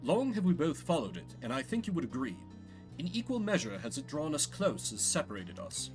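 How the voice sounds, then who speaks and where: A lone minstrel in a fantasy game, played by a man, sorrowful yet resolute. His poetic lines come out earnest and defiant, with lively intonation over faint music. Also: on the high side, a little fast.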